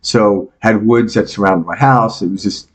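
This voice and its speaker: A man's voice: on the low side, moderate pace. Chatty New York man in his fifties. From New York, United States. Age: 50s. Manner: chatty and joking, explains ideas with homely examples. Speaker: meditation teacher and podcast guest. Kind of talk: casual interview talk.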